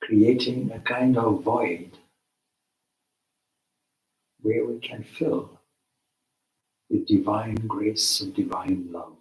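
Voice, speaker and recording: Male meditation guide: lowish pitch, very unhurried, deliberate delivery with long pauses, recorded in an echoing room. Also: steady tone, darkish tone. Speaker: meditation teacher, swami. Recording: roomy, echoing space.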